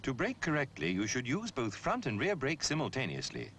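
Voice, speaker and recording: Male narrator for a vintage road safety film. Instructive, highly varied intonation, mid-range voice, very noisy old soundtrack with traffic. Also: huge pitch swings, a little fast. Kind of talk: scripted public information film narration.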